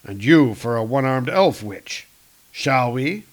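A man's voice: mid-range voice, animated, slow pace. A man voicing a hearty, confident warrior god hero from a comic book with lofty, archaic phrasing.

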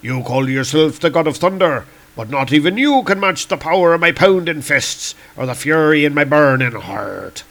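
A man's voice: on the high side, animated, moderate pace, hardly any pauses, a bit bright. A man voicing a proud, furious warrior villain from a comic book, declaiming in grandiose archaic speech. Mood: defiant. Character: Lear, proud furious Celtic warrior villain, bombastic and defiant. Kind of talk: reading comic book dialogue aloud.

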